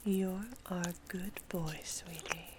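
A woman in sexually explicit adult ASMR audio, moving between breathy whispers and a soft, cooing, soothing voice. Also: on the low side, fairly even intonation, slow, very noisy.

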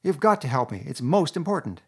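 Storybook toad, grand, bragging and exclamatory, a man putting him on, pitched up with big swings. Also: sing-song, brisk, slightly rough, heavily acted. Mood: boastful. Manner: loud, gushing and exclamatory. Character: boastful excitable male toad, grandly pompous. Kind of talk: storybook reading.

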